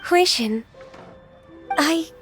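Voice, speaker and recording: A woman voicing a gentle, dutiful, ageless secretary in an anime-style game, speaking earnestly and formally over music. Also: very high voice, lively intonation, very slow, heavily acted. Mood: moved, wistful. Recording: music behind the voice.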